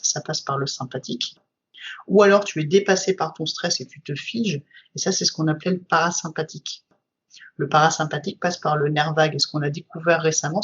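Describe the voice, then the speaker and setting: Casual lo-fi podcast guest, a woman with a husky, breathy voice, explaining her subject in long, flowing stretches, lots of "euh" fillers, recorded on muffled, dull audio. she sounds like she's talking with someone she's comfortable with. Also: low-pitched. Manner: explains at length with frequent fillers. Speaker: guest, trainer.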